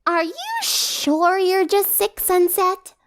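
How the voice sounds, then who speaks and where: A woman voicing a hyper, excitable teenage cartoon girl with a gushing, dramatic delivery in a story reading. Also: very high voice, takes it slowly, a little hiss or hum, pitched above the performer's own voice, exaggerated voice. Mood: playful suspicion.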